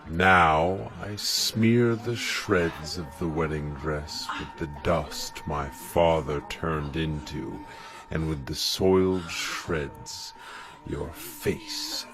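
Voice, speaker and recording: A man's voice: very deep voice, full character voice. A man playing brooding, scornful Hamlet. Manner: slow, brooding, contemptuous delivery. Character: bitter, mocking young prince Hamlet. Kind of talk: experimental audio play. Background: music.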